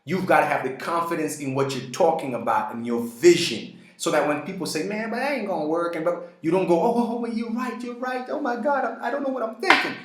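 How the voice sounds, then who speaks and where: A man's voice: high voice, a little fast, few pauses. A man giving a motivational monologue to the camera, emphatic and preachy, hammering his points with repeated phrases. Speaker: self-improvement vlogger. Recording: roomy echo.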